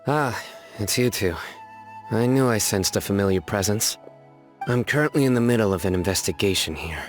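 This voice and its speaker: A man plays a stern judge from a fantasy game, terse and commanding. His voice sits very low. Soft game music plays underneath. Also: normal speed, lightly acted. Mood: serious. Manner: terse, commanding, matter-of-fact. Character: stern, serious male desert judge on a case. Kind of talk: video game voice acting.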